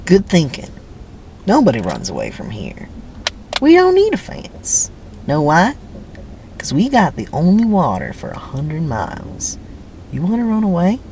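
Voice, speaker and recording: A man voicing a stern, menacing prison camp boss in his forties, taunting with drawn-out, swooping commands in a dramatic script reading, with background noise. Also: high-pitched, huge pitch swings, darkish tone, pitched above the performer's own voice, full character voice. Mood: menacing, sardonic.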